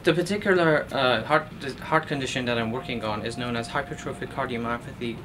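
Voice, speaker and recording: A man's voice: medium pitch, background noise. A man in his thirties, from Iran, speaking earnestly in an interview as a postdoctoral researcher, with many fillers and restarts, recorded in a lab office. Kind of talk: documentary interview.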